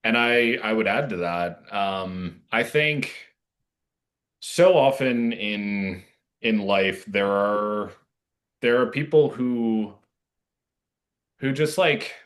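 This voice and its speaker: A male interview guest with a fairly low voice speaks casually and earnestly. He feels his way thoughtfully through sentences, pausing and stressing words deliberately. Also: fairly bright tone. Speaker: playwright guest.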